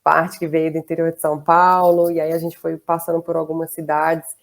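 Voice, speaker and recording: Low-voiced Goiânia-accented woman, chatty. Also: level tone, fairly quick, vocal fry. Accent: Goiás Brazilian Portuguese. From southern Brazil, raised in Goiás. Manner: chatty, warm laughs between phrases, many fillers. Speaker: interview guest. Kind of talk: casual interview chat.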